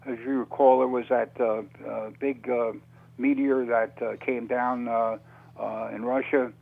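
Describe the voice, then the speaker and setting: A man speaking as an interview guest on radio, unscripted, halting and often repeating words as he searches for phrasing. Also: medium pitch, level tone, gravelly, a little mellow, rough recording. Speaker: interview guest, author on UFO topics.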